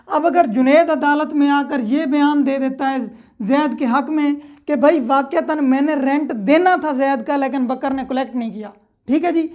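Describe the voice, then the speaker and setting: A woman's voice: high voice, darkish tone. A woman with a Pakistani accent giving an explanatory law lecture in a conversational way, with frequent check-in tags. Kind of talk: explanatory lecture with worked examples.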